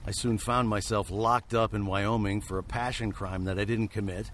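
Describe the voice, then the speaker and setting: Haunted frontier fugitive played by a man, lower register, wordy wry storyteller, radio drama, slight noise behind. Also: fairly even intonation, normal speed, a bit bright, lightly acted. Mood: haunted, brooding. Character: haunted frontier hero turned weary fugitive, recalling adventures. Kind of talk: scripted first-person radio drama narration.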